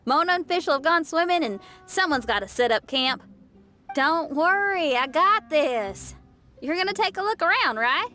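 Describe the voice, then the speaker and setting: A woman's voice: high-pitched, lively intonation, moderate pace, bright, forceful tone, faint music behind. A woman voicing an easygoing, dependable young adventurer in a video game, casual and breezy.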